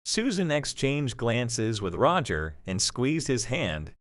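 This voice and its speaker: A male narrator reads a scripted story. His intonation moves expressively around a medium pitch. The recording is dry, with very little room sound. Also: sing-song, slow pace, no echo.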